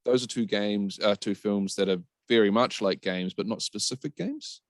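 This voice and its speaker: Kiwi bloke on a podcast, blunt casual takes, slightly deep voice, no room echo. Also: male voice, moderate pace, clean studio sound. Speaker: podcast panelist. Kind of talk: casual opinionated chat.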